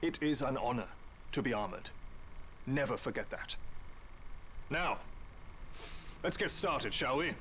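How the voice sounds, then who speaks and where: A man with a British accent playing a proud, brisk army major in a game, giving a clipped, projected briefing. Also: medium pitch, lively intonation, bright, forceful tone, slight background noise. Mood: proud, commanding. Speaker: military division instructor.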